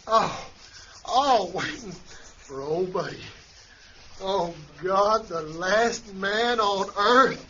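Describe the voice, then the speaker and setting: A man acting a drunken, high-pitched, leering astronaut. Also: lively intonation, drawn-out words, bright, forceful tone, full character voice. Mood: lecherous excitement. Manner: leering, boastful, coarse. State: drunk, slurring. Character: crude drunken swaggering male astronaut, lecherous and bullying. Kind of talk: radio play acting. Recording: dull lo-fi recording, faint noise.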